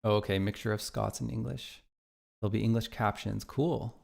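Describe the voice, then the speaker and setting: A man's voice: lowish voice, steady tone, moderate pace, vocal fry, a little mellow. A man streaming his game development live, chatting casually, thinking aloud and reacting to viewer chat.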